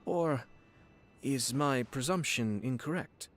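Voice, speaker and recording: A man playing a gracious, formal young noble lord in a video game, with measured, polite speech. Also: medium pitch, fairly bright tone. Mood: politely probing. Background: background music.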